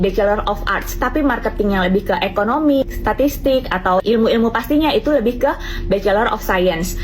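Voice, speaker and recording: A young woman with a slightly higher voice explains things step by step. She talks in a calm, measured way, like a patient tutor. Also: rough recording. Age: young adult. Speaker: student in Singapore. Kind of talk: explanatory commentary clip.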